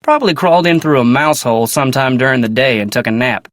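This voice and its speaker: An actor playing a polite, earnest young ranch hand in a video game, with a folksy American Western drawl and a plainspoken way of talking. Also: male voice, mid-range voice, animated, fast, no room sound, studio quality, close to an everyday voice. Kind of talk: video game character dialogue.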